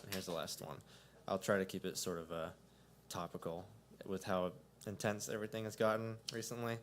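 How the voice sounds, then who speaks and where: A man reading his own poems aloud at a coffee shop open mic, with a measured delivery that swells. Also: deep voice, little pitch movement, noisy background. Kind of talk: poetry reading.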